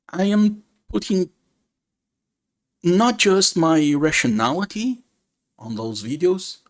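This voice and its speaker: A man from Brazil, a native Portuguese speaker with a Brazilian accent, talking earnestly and haltingly to his viewers, set back from the mic. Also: medium pitch. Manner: earnest, halting, with fillers and restarts. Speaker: channel creator. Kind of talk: unscripted personal address to viewers.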